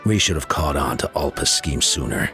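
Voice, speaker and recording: An actor playing a calm, reassuring male mentor and leader in a video game. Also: a bass voice, normal speed, full character voice. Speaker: game character. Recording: game audio with soft music.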